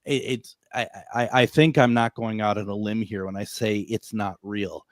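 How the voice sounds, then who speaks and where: A man's voice: lowish voice, fairly even intonation, moderate pace, a little hiss or hum. A man hosting a radio interview, chatty and often repeating words as he thinks aloud. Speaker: radio show host. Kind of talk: casual interview conversation.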